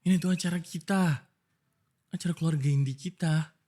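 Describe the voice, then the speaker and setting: A man's voice: a little high, fairly even intonation, normal speed, mellow. A young man with an Indonesian accent, voicing a frustrated, pleading boyfriend in an ASMR roleplay.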